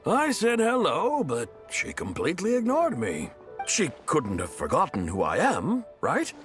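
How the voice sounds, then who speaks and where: A man's voice: on the high side, wildly swooping pitch, a little slow, faint music behind. An actor playing a friendly, self-satisfied older townsman in a video game, chatty and folksy in a theatrical way. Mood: chatty, puzzled. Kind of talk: English video game voice acting.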